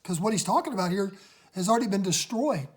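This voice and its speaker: Raspy-voiced woman leading a casual home Bible study, emphatic and excited. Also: medium pitch, fast. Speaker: Bible teacher. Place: home, recorded on a phone.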